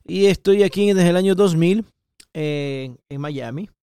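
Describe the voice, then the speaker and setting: A middle-aged Ecuadorian man with an Ecuadorian Spanish accent holds forth on his podcast, emphatic and repetitive, jumping up in pitch to stress his points. His voice sits fairly high and sounds smooth and clear, in a dry, close recording. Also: unhurried, dark tone, dry recording. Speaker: podcast host. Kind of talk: opinionated political monologue.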